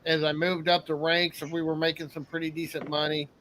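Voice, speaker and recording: A man's voice: fairly high pitch, little pitch movement, a little fast, fairly bright tone, a little hiss or hum, poor audio. A middle-aged man co-hosting a casual live panel, telling long earnest stories. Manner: earnest, rambling storyteller. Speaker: co-host.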